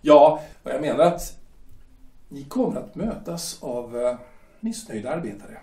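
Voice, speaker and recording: A man's voice: medium pitch, sing-song, warm tone. An actor playing a stern male shipyard director who lectures formally, with hesitant fillers, in an audio drama. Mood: formal lecturing. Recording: roomy echo, distant from mic.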